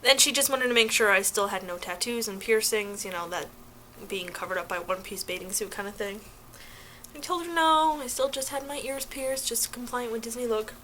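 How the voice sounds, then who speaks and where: A young adult woman chatting casually to her viewers in an informal, animated way with slangy asides, as a vlogger sharing advice. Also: on the high side, brisk, a little hiss or hum, low-quality recording. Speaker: vlogger giving application advice.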